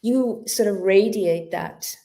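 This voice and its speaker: Woman in her 50s chatting as a guest, mid pitch, sincere and thoughtful, bit tongue-tied from a numb mouth. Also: slow pace, creaky, mellow. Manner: earnest, heartfelt, reflective; slightly stumbling, searching for words. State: mouth numb from dental injection. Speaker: podcast guest, yoga teacher. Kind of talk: casual interview talk.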